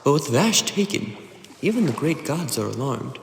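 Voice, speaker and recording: A man's voice: medium pitch, very animated, moderate pace, creaky. A man voicing an earnest, regretful god of a valley in a dramatic webcomic dub. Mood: grave, earnest. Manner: earnest, explanatory. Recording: roomy echo, faint noise.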